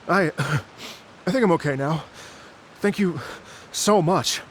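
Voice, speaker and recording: An actor playing a polite, dreamy travelling musician in a video game, courteous, hesitant and slightly flustered. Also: male voice, on the high side, takes it slowly, a little hiss or hum. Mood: shaken, grateful.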